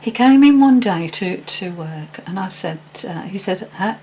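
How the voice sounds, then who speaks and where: A woman's voice: on the low side, animated, a little slow, warm tone, slight background noise. An older woman telling a personal story in a casual, hesitant, meandering way with frequent restarts. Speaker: vlogger.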